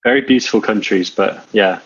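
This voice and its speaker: A man in his thirties with a British accent, raised in Wales, speaking as a podcast guest in a relaxed, easygoing way. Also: on the low side, brisk, breathy edge, no room sound. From born in Serbia, raised in Wales. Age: 30s. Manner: relaxed, easygoing, hesitant fillers. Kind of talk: casual interview chat. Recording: slightly muffled dry podcast audio.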